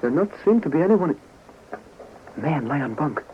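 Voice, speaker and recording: An actor playing a loyal, cautious valet who speaks broken English in a 1940s radio drama. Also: male voice, fairly high pitch, animated, moderate pace, natural voice. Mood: watchful. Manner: broken English, dropped articles and verbs. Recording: noisy, muffled 1940s radio recording.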